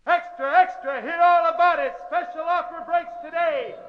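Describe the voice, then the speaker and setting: An actor playing a young newsboy hawking papers with sing-song calls in old-time radio style. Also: male voice, very high-pitched, fairly even intonation, normal speed. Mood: urgent hawking. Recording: dull vintage radio broadcast.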